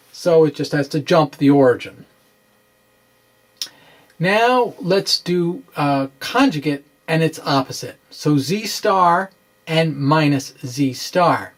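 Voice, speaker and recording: A middle-aged man presenting an informal science explainer, with halting, emphatic delivery and wry asides. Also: fairly high pitch. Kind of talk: informal dramatic reading of a physics explainer. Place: small indoor room with bookshelves. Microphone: microphone on stand close in front.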